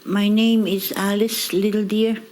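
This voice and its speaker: A woman in her seventies giving interview testimony in a halting way, with pauses and fillers. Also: mid-range voice, flat delivery, darkish tone, a little hiss or hum, poor audio. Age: 70s. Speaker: residential school survivor.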